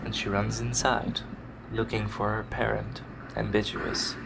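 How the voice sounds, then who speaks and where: A man's voice: lowish voice, flat delivery, unhurried, gravelly, heavy background noise. A man with a Dutch accent, rambling casually and searching for words while commentating his own game. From Netherlands. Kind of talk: casual let's play commentary. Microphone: desk microphone recorded on computer. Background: game music.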